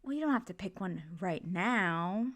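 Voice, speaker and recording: A woman's voice: medium pitch, normal speed, lightly acted. A woman playing a supportive, playful mother in an ASMR comfort roleplay, talking casually and reassuringly to her kid. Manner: casual, reassuring, jokey, gently expressive. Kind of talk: ASMR comfort roleplay, one side of a conversation.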